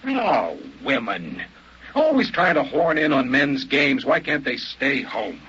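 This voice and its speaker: An actor playing an eager, high-strung hunter in a future society, in old-time radio drama style, excitable and restless. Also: male voice, slow, heavily acted. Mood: uneasy, indignant. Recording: muffled vintage radio recording with noise.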